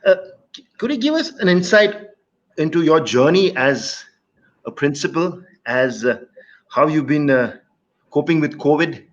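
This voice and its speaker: Lively male host, dull lo-fi audio. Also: on the high side, unhurried. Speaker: interview host. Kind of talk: online interview.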